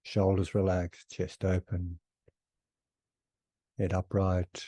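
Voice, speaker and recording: A man leading a guided meditation as a teacher, unhurried, giving one short phrase at a time with long pauses. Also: low voice, monotone, mellow, no room sound.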